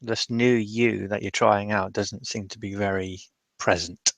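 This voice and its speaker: A man playing a courteous, dryly doubtful old hermit mage in a tabletop roleplay, musing haltingly in old-fashioned wording. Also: on the low side, steady tone, creaky, fairly bright tone, no room sound. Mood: wry.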